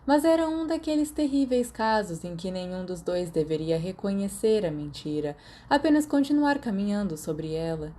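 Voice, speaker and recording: A woman's voice: medium pitch, expressive, normal speed, hardly any pauses, dark tone. A woman with a Brazilian accent reads a story aloud as scripted audiobook narration. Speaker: podfic narrator.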